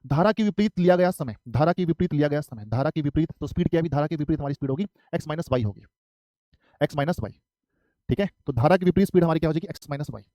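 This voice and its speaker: Male math teacher from Haryana in his thirties, slightly high, close and dry, drills every step. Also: expressive, very fast, warm tone, dry recording. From Haryana, India. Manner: repetitive, asks and answers his own questions. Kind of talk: live online exam-prep math class.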